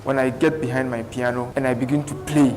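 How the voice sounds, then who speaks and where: A man's voice: mid-range voice, flat delivery, quick pace. A man speaking earnestly as a talk show guest, telling his life story with restarts. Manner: earnest, with restarts and thanks to God's grace. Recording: reverberant studio, faint background noise.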